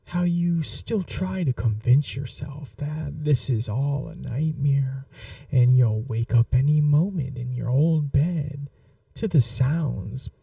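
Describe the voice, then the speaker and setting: Woman playing a dark, slow-purring vampire mistress. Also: a bass voice, vocal fry, no echo, recorded from a distance, full character voice. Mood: wistful, taunting. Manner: condescending, purring, coaxing like an owner to a pet. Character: possessive, teasing female vampire mistress, sweetly cruel. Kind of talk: ASMR roleplay, slow intimate monologue.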